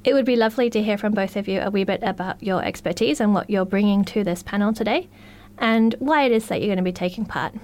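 A woman's voice: medium pitch, quick pace, darkish tone. A woman moderating a panel discussion, asking thoughtful and engaged questions.

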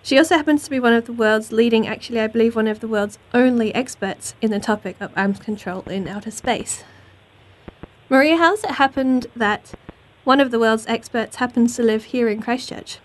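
A New woman hosts a radio talk show, asking friendly questions with a touch of humour. Her voice sits fairly high. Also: normal speed, low-quality recording. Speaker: radio host. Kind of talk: radio interview hosting.

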